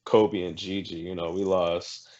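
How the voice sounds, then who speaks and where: A man from Haiti talks in a casual podcast chat, his voice sitting on the low side. He speaks in a halting, emotional way, often searching for his words. The recording sounds dull and lo-fi. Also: little pitch movement, a little mellow. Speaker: podcast guest.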